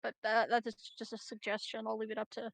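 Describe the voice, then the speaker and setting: A woman's voice: fairly high pitch, monotone, quick pace, vocal fry, a bit bright, no room sound. A woman chatting casually as a player in a tabletop roleplaying game.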